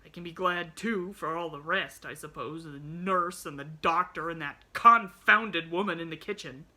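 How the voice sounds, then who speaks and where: Woman playing a cross, forceful, sardonic man. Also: lowish voice, moderate pace. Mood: bitter, sharp. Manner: curt, forceful, sarcastic. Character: cross, bitter, bedridden grown man, sardonic.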